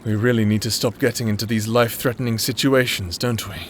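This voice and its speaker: Man acting a bright-voiced, earnest private investigator. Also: fairly low pitch, steady tone, fast, a little hiss or hum, lightly acted. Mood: relieved. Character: earnest male private investigator, reserved, secretly fond of client. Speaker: voice actor in a roleplay audio drama. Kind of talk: anime roleplay audio drama acting.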